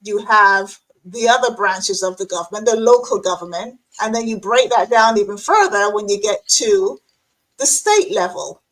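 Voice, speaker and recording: A woman's voice: mid-range voice, animated, normal speed, low-quality recording. A woman hosting an interview show, chatting casually over a remote call with lots of fillers and restarts. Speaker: host.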